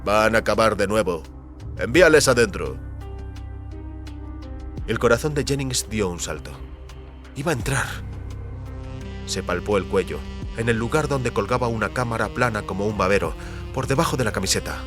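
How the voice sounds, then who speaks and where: A man with a Castilian Spanish accent narrating a dramatized audiobook in a measured, deliberate storytelling manner. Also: lowish voice, expressive, fairly bright tone. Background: music under the voice.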